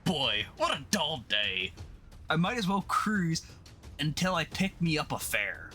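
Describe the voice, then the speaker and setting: A man acts out a jumpy, colloquial working-class guy in a comic-book drama. His excitable voice swoops wildly, with music behind him. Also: on the high side, unhurried, full character voice. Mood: bored then baffled. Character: jumpy working-class everyman, cabbie or cop.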